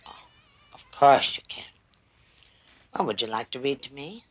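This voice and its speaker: A woman playing a gentle visitor to a sick child in a television drama, with a playful storytelling manner. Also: very deep voice, animated, measured pace, slight background noise. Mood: kindly.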